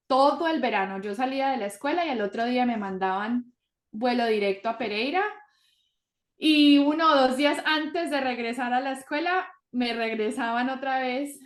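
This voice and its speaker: A young woman born in Colombia and raised in South Florida, a school psychologist telling her story as a podcast guest, animated and full of fillers. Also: on the high side, roomy sound. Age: young adult. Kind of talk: casual interview.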